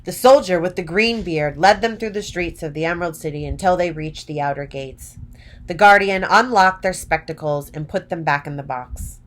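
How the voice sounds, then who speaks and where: A woman narrating a children's story from a script, deliberately, phrase by phrase. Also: lowish voice.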